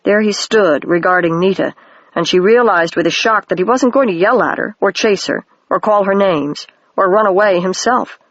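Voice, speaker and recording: An audiobook reader narrating a children's fantasy novel in a steady, even storybook style, on a dry, dull lo-fi home recording. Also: mid-range voice, normal speed, no echo.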